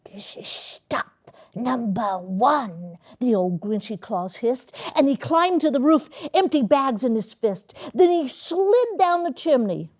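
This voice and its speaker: A woman reading a children's storybook aloud to schoolchildren in a theatrical, expressive manner, stretching key words. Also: high voice, unhurried, hardly any pauses. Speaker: storybook reader, college dean. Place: elementary school classroom.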